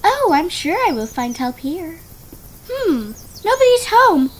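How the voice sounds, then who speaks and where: A woman voicing a sweet, naive young maiden princess, gentle and wide-eyed in a children's storybook tale. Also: very high-pitched, sing-song, a little slow, slight background noise, heavily acted. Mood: wondering. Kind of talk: children's storybook play with dolls.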